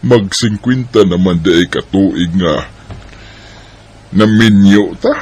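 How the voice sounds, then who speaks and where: Man acting a husky, easygoing Bisaya family man. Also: low voice, slow pace, a little mellow, heavily acted. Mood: fond, reflective. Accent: Filipino Bisaya. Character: easygoing, roaming husband and father of a big family. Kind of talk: radio drama acting.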